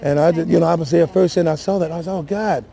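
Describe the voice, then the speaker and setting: A shaken, weary man speaking haltingly and earnestly in a live news interview, often repeating himself. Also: on the high side, steady tone, vocal fry, dark tone, slight background noise. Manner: halting, repetitive, emotional and earnest. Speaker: city councilman giving interview.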